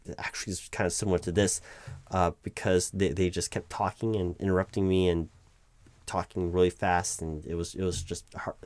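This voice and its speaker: A man's voice: sits low, dry recording, lightly acted. A man playing a flustered, exasperated recruiting manager in a one-sided office roleplay, stammering and often correcting himself. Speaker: roleplay performer. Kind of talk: improvised one-sided roleplay, loud spoken ASMR.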